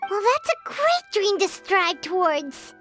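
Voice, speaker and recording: A woman voicing a tiny, excitable floating fairy companion who talks about herself in the third person. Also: very high voice, slow, exaggerated voice. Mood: curious. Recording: game audio over soft music.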